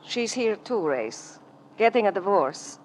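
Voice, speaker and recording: An actress playing an elegant, wistful ballerina with formal, melodramatic speech in a 1940s radio drama. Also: female voice, medium pitch, big pitch swings, a little slow, a little mellow. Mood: weary, resigned. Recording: noisy old radio recording.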